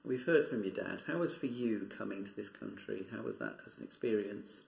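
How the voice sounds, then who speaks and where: Male interviewer, gravelly voice, lots of mm-hmms, echoey room. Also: on the low side, expressive, fairly quick. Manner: encouraging, with frequent backchannel murmurs.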